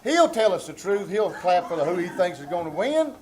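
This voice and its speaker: A man's voice: sits high, big pitch swings, a little fast, low-quality recording. A man teasing in folksy live stage banter. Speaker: troupe member. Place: theater stage before a live audience.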